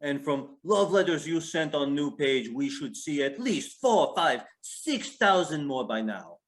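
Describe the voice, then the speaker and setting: An actor playing a menacing, impatient Russian gangster in a play reading, with a Russian accent and curt broken English. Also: male voice, on the high side, lively intonation, measured pace. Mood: cold, curt. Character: menacing, impatient Russian mob boss's enforcer, a man.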